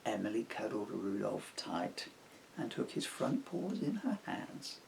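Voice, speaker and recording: Casting: male storybook narrator, rhyming verse, very animated pitch, unhurried, slight background noise. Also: gravelly. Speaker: author reading aloud. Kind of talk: children's storybook narration in rhyme.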